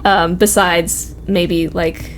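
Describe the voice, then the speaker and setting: A woman's voice: on the low side, extremely slow, a little hiss or hum. Chatty young woman in casual conversation. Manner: animated agreeing, informal, frequent "like" and "you know". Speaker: podcast guest. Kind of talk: casual office chat.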